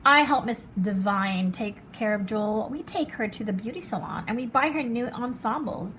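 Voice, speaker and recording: A woman reading as an excitable, fancy little girl from a storybook, dramatic and showy with her favorite words. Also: fairly high pitch, normal speed, slight background noise, close to an everyday voice. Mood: excited, proud.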